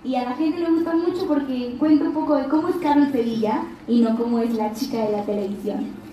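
A woman's voice: sits high, fairly quick, dark tone, a little hiss or hum. A young woman with a slight Argentine accent, talking earnestly to a live crowd from an auditorium stage. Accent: Argentine Spanish, slight. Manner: earnest, encouraging, speaks straight to the crowd. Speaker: actress speaking at a fan event. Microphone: handheld mic, recorded from the audience on a phone. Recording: distant, echoey auditorium audio from a phone. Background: crowd ambience.

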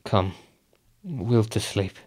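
An actor playing a guilt-haunted, volatile king in a Shakespearean radio drama, with theatrical, deliberate verse delivery. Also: fairly low pitch, expressive, darkish tone, husky, heavily acted. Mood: shaken, brooding.

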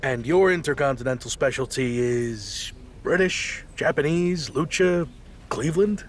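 An actor playing a dry, teasing champion pro wrestler in a video game, speaking with sarcasm. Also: male voice, fairly high pitch, animated, unhurried, slight background noise. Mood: wry. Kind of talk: scripted video game dialogue.

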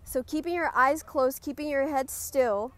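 A woman leading a group guided meditation, giving gentle, instructive cues. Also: sits high, moderate pace, no echo. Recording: clear background noise, ambient sound.

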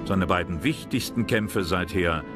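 A man's voice: deep voice, a little slow, over loud music. A man reading scripted historical documentary narration as the narrator.

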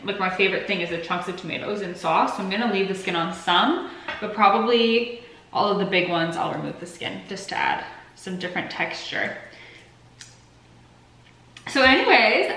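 A woman's voice: medium pitch, pushed and bright. A woman in her twenties, a bit sick and sniffly, chatting casually and rambling to the camera in her home kitchen. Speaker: vlogger. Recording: echoey kitchen.

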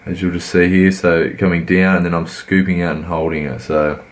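A man, croaky from a cold, giving laid-back, rambling voice-over commentary on his workout. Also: low-pitched, flat delivery, normal speed. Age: 20s. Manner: laid-back, rambling, many fillers and restarts. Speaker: fitness vlogger.

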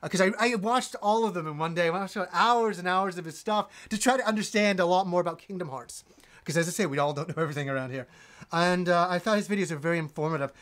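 Excitable high-voiced gamer man. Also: quick pace. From Yorkshire, England, raised in Canada. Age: 40s. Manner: enthusiastic, gesturing broadly, rambling with restarts. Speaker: gaming channel host. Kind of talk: live stream chat reading donations. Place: indoor room with game shelves.

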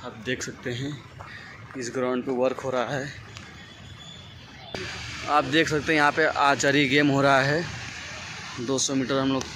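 A young man from India walking viewers through an outdoor athletics stadium, describing what he shows in a plain, direct way. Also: medium pitch. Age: young adult. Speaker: vlogger, athlete. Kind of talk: walking tour narration. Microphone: phone camera, handheld. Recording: outdoor phone audio with background noise.

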